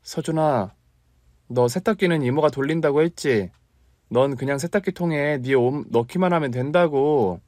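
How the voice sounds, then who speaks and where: A man voicing a warm, scatterbrained young aunt in a spoken story, his pitch raised high. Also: normal speed, dry recording, clean studio sound, close to an everyday voice. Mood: apologetic.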